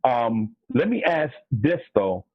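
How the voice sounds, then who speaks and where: A man co-hosts an interview in an earnest, slangy way, his voice a little husky. It comes through a thin, phone-like line, dry and close. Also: medium pitch, sing-song, slow pace, dry recording. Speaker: radio co-host. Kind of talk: casual interview chat. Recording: tinny phone or call line.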